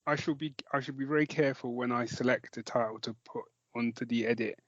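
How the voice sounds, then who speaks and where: A British man talks casually as a podcast co-host at a middling pitch. He rambles and restarts his sentences often. The recording is dry and a little dull. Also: fairly even intonation, fast, no echo. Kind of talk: casual chat about films and comics.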